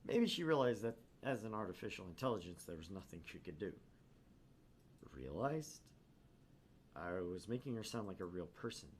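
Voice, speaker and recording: A man voicing a haunted young male student who narrates his anguish in a brooding, measured way in a visual novel. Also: low voice, sing-song. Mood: brooding, shaken. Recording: clear background noise.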